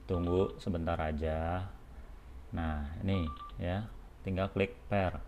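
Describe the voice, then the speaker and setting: A man's voice: low-pitched, steady tone, slow pace, darkish tone, slight background noise. A man giving a casual, hands-on product demonstration step by step, informally and with short check-in tags. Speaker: product tutorial presenter for a helmet shop.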